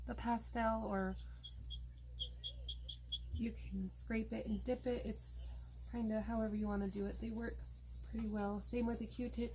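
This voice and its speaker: A woman hosting an art tutorial, explaining her steps in a relaxed, chatty way while she works. She is losing her voice. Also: a little high, slight background noise, low-quality recording. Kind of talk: casual step-by-step craft demonstration.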